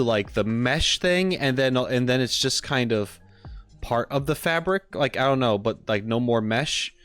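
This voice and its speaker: A man's voice: medium pitch, a bit bright. A man giving casual, rambling live-stream commentary, musing aloud in tentative half-finished sentences. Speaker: streamer reacting to game trailers.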